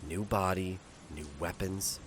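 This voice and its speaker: A man's voice: deep voice, fairly even intonation, a little slow, very noisy. An actor playing a wisecracking adult male ship skipper in a fan audio drama, sarcastic and taunting. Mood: mocking. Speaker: hero. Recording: music underneath.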